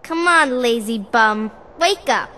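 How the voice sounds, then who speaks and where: A woman's voice: on the high side, lively intonation, slow pace, sharp tone, full character voice. A woman playing a perky, cocky teenage ninja girl in a video game. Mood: teasing. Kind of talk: video game cutscene acting.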